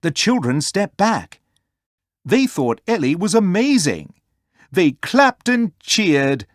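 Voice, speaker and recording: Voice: medium pitch, sing-song, slow pace, dry recording. A storyteller reading a children's story aloud as scripted narration.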